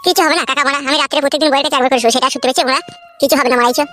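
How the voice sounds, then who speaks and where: Man doing a high, whiny young village husband. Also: rapid-fire, faint music behind. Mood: whiny, indignant. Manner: complaining, crude, excitable. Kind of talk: comic cartoon dialogue.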